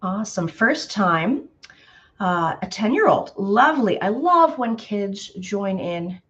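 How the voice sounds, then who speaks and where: Woman, slightly hoarse, painting instructor, chatty live stream, medium pitch, muffled audio. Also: big pitch swings, slow, fairly bright tone. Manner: chatty, greets viewers by name, frequent fillers. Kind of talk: live painting tutorial chat.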